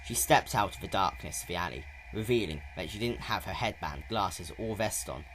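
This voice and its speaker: A man's voice: sits low, animated, normal speed, fairly bright tone, slight background noise, poor audio. A man narrating a story in a dramatic, scripted reading style.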